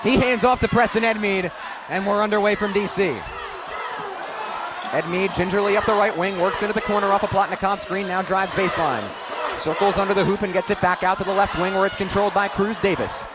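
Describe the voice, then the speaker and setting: A young man doing animated live radio basketball play-by-play, vividly calling the action and raising his voice on big plays. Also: high-pitched, a little fast. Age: young adult. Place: courtside in a noisy basketball arena. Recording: loud arena crowd and bouncing ball. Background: crowd noise, basketball bounces.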